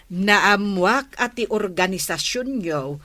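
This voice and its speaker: A woman's voice: medium pitch, animated, slow pace, bright, forceful tone. A woman playing a village captain's wife in a radio comedy drama, speaking politely and earnestly.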